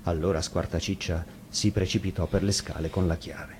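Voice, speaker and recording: A man reads a fairy tale as a storyteller. His voice is on the low side and his delivery is dramatic, with faint noise behind him. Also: fairly even intonation, normal speed, a little mellow. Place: radio studio.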